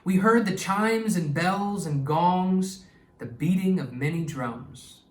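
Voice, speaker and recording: A man voicing a kindly, good-humored old grandfather from a children's storybook, talking warmly to his grandchildren. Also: high-pitched, slow, pitched above the performer's own voice. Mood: nostalgic. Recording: echoey room, distant microphone.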